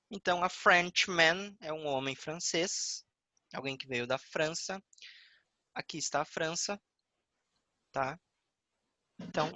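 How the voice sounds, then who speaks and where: A male English teacher with a Brazilian Portuguese accent, explaining a lesson patiently and repeating words slowly. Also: on the high side, sharp tone, dry recording.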